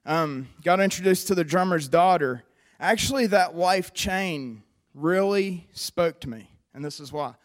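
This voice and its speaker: A man in his twenties with a West Virginia accent, giving an earnest, conversational personal testimony to a church audience with frequent pauses. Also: sits high. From West Virginia, USA. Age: 20s. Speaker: recovery program member giving testimony.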